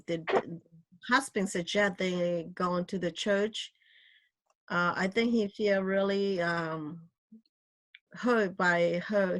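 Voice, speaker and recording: A woman's voice: lowish voice, fairly bright tone. A woman on a panel discussion who speaks while searching for words, with non-native grammar. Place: video call.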